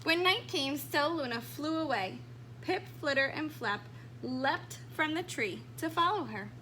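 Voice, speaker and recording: A woman reading a children's storybook aloud in an expressive storytelling style. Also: sits high, a little slow, slight background noise. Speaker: storybook reader.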